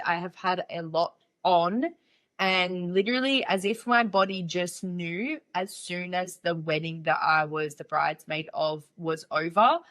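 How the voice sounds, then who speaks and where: A woman's voice: on the low side, fairly bright tone. A young woman hosting a casual solo podcast, with a nasal voice from a cold, talking in a candid, playful and chatty way. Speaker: podcast host.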